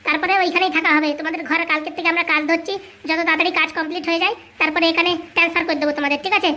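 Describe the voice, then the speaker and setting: A woman's voice: extremely high pitch, fairly even intonation, racing, pushed and bright. A woman playing a bossy, insistent male fixer who reassures everyone in a comic cartoon. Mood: bossy, reassuring. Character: bossy, brisk male fixer arranging a village wedding.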